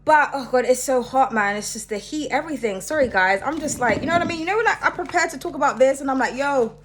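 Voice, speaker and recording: A woman's voice: sits high, fast talker, background noise. A young woman hosting her own channel, talking casually and rambling, sniffly from hay fever and often tagging "okay?" onto her points. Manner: chatty, rambling, keeps asking "okay?". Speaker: channel host. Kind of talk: casual solo talk to camera.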